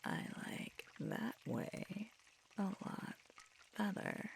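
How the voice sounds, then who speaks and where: Woman playing affectionate werewolf girlfriend, ASMR roleplay, teasing and sweet, heavy vocal fry, unhurried casual delivery. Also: mid-range voice, lively intonation, a little hiss or hum, full character voice. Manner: casual, halting, hushed at times.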